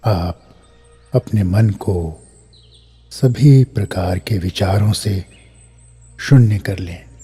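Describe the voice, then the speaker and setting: A man guiding a scripted meditation in a measured way, pausing between short phrases. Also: deep voice, dark tone, husky, faint music behind. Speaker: meditation guide. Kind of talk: scripted guided meditation, instructional narration.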